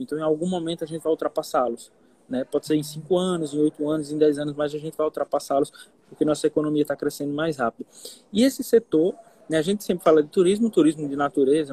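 A man speaking as an economist guest on a live stream, who lays out his points step by step and keeps checking in with his listeners. His voice sounds somewhat husky and sits a bit high. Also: a little mellow. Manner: explanatory, with frequent "né?" checks. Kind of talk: casual live interview.

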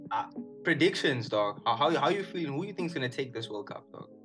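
Co-host, relaxed slangy talker, mid-pitched voice, with a faint music bed behind. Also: male voice, fairly quick. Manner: laid-back, slangy, keeps saying "dog" and "one hundred". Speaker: podcast co-host. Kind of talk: casual chat. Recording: music playing faintly underneath.

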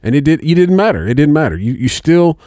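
A man's voice: a little high, very animated, fast, warm tone, dry recording, studio quality. A man in his thirties hosting a podcast, swearing freely, laughing often and stammering through restarts. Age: 30s. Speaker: podcast host. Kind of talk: crude casual banter.

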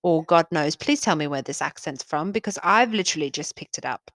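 A woman's voice: sits low, expressive, brisk, fairly bright tone, dry recording. Emphatic young South African-Australian woman. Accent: Australian with South African traces. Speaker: podcast co-host. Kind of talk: casual chat.

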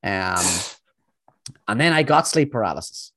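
A man's voice: lowish voice, sing-song, unhurried, a bit bright, no room sound. A man co-hosting a podcast in casual chat, rambling with many fillers and restarts. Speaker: podcast co-host.

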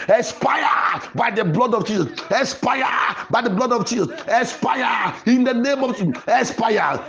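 A man's voice: extremely high pitch, creaky, a bit bright. A man preaching and leading fervent prayer in an insistent, repetitive, declamatory manner. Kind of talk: fervent deliverance sermon and prayer.

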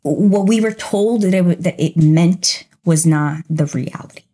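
Husky, warm-voiced woman from Brazil. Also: lowish voice, measured pace. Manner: reflective, animated, with frequent fillers like "you know". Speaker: podcast co-host. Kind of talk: casual conversational podcast chat.

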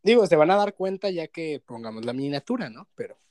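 A young man with a Mexican accent co-hosts a casual chat. His voice is high and swings around in lively fashion, with playful slang and a close, dry sound. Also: fairly quick, no echo. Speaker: podcast co-host. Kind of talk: casual joking chat among friends.

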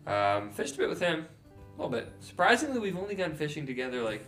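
A man's voice: a little high, very animated, fast talker, a bit bright, soft background music. Laid-back young man rambling casually. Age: 20s. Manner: rambling, laid-back, frequent ums and self-corrections. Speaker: podcast co-host. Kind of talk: casual chat. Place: house, indoors.

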